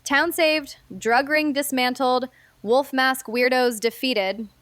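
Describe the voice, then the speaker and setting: A woman's voice: sits high, fairly even intonation, takes it slowly, fairly bright tone, dry recording. A woman playing a brash, cocky young dog owner who boasts and wisecracks in an audio drama. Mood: cocky, teasing. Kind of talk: scripted fiction podcast acting.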